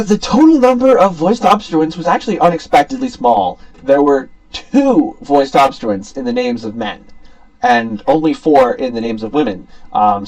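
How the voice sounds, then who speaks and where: A man's voice: fairly high pitch, vocal fry, darkish tone, recorded from a distance, rough recording. A young man giving an academic student presentation in an informal, somewhat hesitant explaining manner. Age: young adult.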